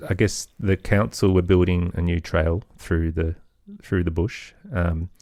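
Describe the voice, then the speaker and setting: Middle-aged male podcast guest, very low register, close dry sound, hesitant and conversational. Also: fairly even intonation, measured pace, darkish tone, dry recording. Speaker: podcast guest, audio storyteller and producer. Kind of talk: casual interview conversation.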